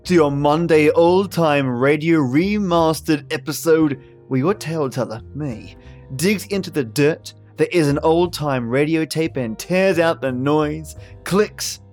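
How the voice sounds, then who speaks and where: A man's voice: a little high, measured pace, a little mellow, soft background music. A man delivering a theatrical scripted introduction as a podcast host.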